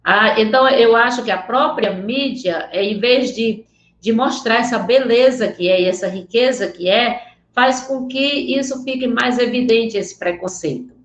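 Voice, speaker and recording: Earnest Northeastern Brazilian woman teacher, slightly high voice, echoey room. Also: a little slow. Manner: earnest, checks in with students often. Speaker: Portuguese teacher. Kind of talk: online class lecture with slides.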